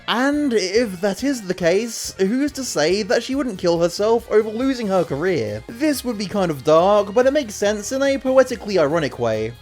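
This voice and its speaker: A video essay presenter reads scripted narration with a very animated, mobile intonation. The voice sits at a middling pitch, and music plays softly behind it. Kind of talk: scripted narration of a fan theory.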